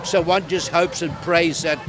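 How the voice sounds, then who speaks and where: A man in his seventies with a South African accent, talking earnestly in halting phrases during a street interview at an outdoor protest. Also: a little high, steady tone, poor audio. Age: 70s. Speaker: interviewee, tour guide. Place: outdoor protest in a city square. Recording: loud crowd and music behind.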